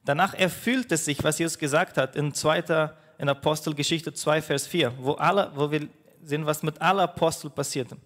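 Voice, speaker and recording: A man's voice: a little high, steady tone, a bit bright, big-room sound. A man whose first language is Portuguese, preaching a sermon as pastor, often restarting his phrases with fillers. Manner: halting, with frequent restarts and fillers.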